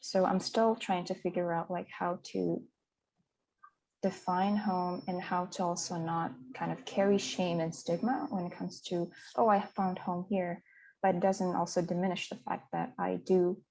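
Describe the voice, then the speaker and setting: A woman's voice: on the low side, steady tone, a little slow, gravelly, darkish tone, slight background noise, rough recording. A woman from Mongolia, speaking as a conference panelist in a hesitant, reflective way with many fillers and restarts. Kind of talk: reflective personal talk.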